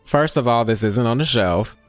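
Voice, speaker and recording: A woman giving casual, slangy game playthrough commentary with a sassy, deadpan manner. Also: very deep voice, normal speed. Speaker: gameplay streamer. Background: faint game music.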